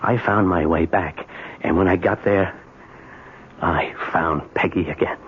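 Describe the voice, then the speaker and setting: An actor playing a bitter, nostalgic husband with a deliberate, insistent delivery and dramatic pauses in an old-time radio drama. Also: male voice, deep voice, husky. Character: bitter, nostalgic husband confronting his wife. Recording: muffled, noisy old radio broadcast.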